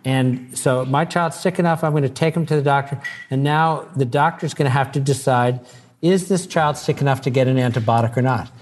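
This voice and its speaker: An earnest man speaking as a doctor in a relaxed talk-show conversation. He explains things patiently, doubling words as he gets going, in an ordinary mid-range voice. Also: medium pitch, fairly quick. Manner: earnest, repeats words when starting sentences. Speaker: doctor, guest.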